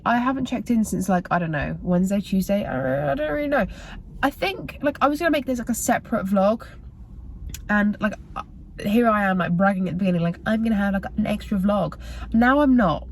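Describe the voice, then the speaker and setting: A young British woman talks to her camera in a loose, rambling vlog style. Her voice is croaky and worn from tiredness, and she drifts through fillers and restarts. A faint hiss of background noise sits under her speech. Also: mid-range voice. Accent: British English. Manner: rambling, filler-heavy, self-correcting. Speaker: vlogger. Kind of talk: casual chatty vlog talk to camera.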